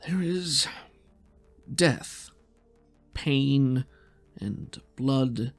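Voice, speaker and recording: Man voicing an intense, halting psychic interrogator, gravelly. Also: medium pitch, expressive, faint music behind. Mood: grave, haunted. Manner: dramatic halting phrases with weighty pauses. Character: intense probing male psychic who reads objects, grave and suspicious.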